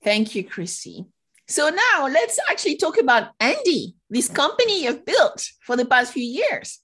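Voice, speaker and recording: A woman's voice: high-pitched, very animated, a little slow, fairly bright tone. A woman hosting an interview podcast, giving prepared introductions and putting questions to her guest.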